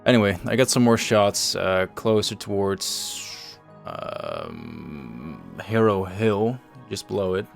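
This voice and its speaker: A man hosting a developer livestream, explaining things casually in a measured, halting way. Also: deep voice, huge pitch swings, vocal fry. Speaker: host and project lead on a developer livestream. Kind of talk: casual show-and-tell commentary over game screenshots. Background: faint music.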